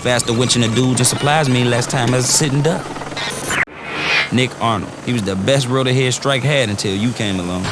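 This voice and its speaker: An actor playing a brash, wisecracking male military briefing officer, with slangy patter over loud background noise. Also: mid-range voice, brisk, lightly acted. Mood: upbeat. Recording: loud noise under game audio.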